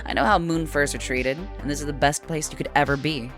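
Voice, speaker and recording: Seorang wanita memerankan alien betina berbulu dari klan prajurit yang tangguh, blak-blakan, dan santai dalam buku audio. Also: female voice, low-pitched, steady tone, fairly quick, a bit bright, faint music behind, pitched below the performer's own voice. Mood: encouraging. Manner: brash, casual, blunt. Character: brash, tough warrior-clan furry alien female. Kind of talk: audiobook character acting.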